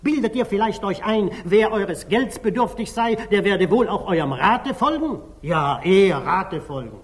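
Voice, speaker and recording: An actor playing a blunt, excitable, eccentric dervish in a 1940s German radio drama, talking with exasperation. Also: male voice, high-pitched, measured pace. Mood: agitated, aggrieved.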